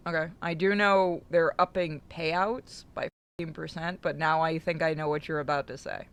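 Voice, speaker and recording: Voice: mid-range voice, fairly even intonation, normal speed, vocal fry, fairly bright tone, clear, resonant tone. A streamer co-hosting a playthrough, chatting casually, swearing freely and reading game narration aloud, with game music behind. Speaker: streamer co-host reading a visual novel aloud.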